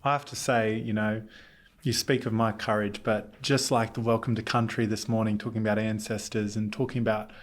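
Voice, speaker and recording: A man from Australia, a committee chair interviewing a guest, speaking in an earnest, measured way with occasional restarts. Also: on the low side, level tone. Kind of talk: conversational interview.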